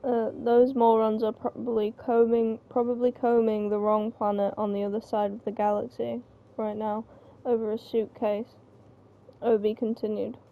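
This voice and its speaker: Higher-pitched creaky woman reading a story. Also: little pitch movement, normal speed. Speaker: reader of the narration. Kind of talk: informal read-aloud of a story script. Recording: slightly muffled mic, faint background noise.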